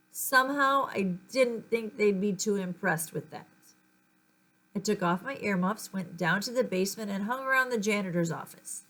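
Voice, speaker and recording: A woman reading a children's story aloud in an expressive, dramatic storytelling manner. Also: medium pitch. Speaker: audiobook-style reader.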